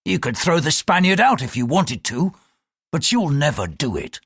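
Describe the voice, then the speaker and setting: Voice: mid-range voice, big pitch swings, normal speed, breathy edge, no room sound, studio quality, voice pushed up. An audiobook reader voicing a bold, taunting ship's pilot who talks bluntly and defiantly. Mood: scornful, defiant.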